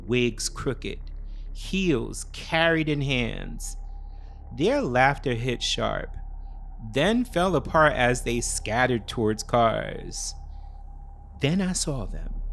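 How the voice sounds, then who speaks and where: A man narrates a scripted thriller in the first person. His delivery is unhurried and deliberate, with a lively, varied pitch. There is faint noise in the background. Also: vocal fry. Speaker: storyteller.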